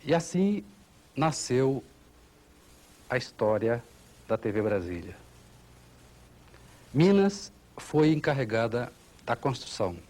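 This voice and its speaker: A Brazilian man delivering a formal documentary recollection, solemn and rhetorical, in short measured phrases. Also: medium pitch, sing-song, mellow. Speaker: engineering manager giving testimony.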